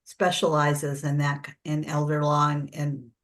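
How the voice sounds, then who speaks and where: A woman's voice: low-pitched, completely flat delivery, measured pace. An older woman with an American accent, explaining things conversationally as a preparedness coach in an informal workshop and often correcting herself. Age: 60s or older.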